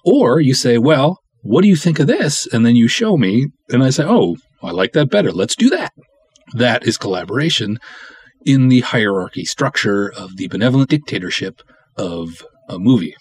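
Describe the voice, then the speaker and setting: A man's voice: mid-range voice, sing-song, moderate pace, a little mellow, slightly rough. A man hosting a solo podcast, giving candid advice in a blunt, opinionated, emphatic way. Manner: blunt, opinionated, emphatic, acts out imagined dialogue. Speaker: podcast host, independent film writer-director.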